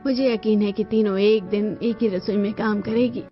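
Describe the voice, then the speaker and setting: A woman voicing a satisfied middle-aged mother-in-law, a hopeful matriarch in a cartoon family drama, speaking in a measured way. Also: mid-range voice, steady tone, lo-fi sound, lightly acted. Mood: pleased. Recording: band-limited audio with music behind.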